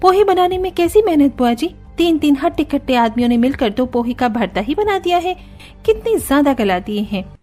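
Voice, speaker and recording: A woman's voice: high-pitched, big pitch swings, brisk, darkish tone, faint music behind, voice pushed up. A woman voicing young daughters-in-law in a cartoon story, complaining and emphatic. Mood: scornful. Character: young women daughters-in-law, sharp-tongued and fussy.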